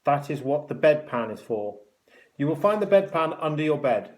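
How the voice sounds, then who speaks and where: A man voicing a cruel, bossy hospital matron with a sharp, mocking, snapping delivery in a children's story. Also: fairly high pitch, lively intonation, normal speed, lightly acted. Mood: strict, bossy. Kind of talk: children's story read aloud.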